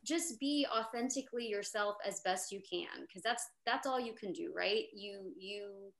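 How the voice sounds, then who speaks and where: Woman, 30s, animated panel guest, mid-pitched voice, echoey room sound. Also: normal speed, gravelly. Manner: animated, chatty, many fillers and restarts. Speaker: actor on a panel. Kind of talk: casual panel storytelling. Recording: roomy echo.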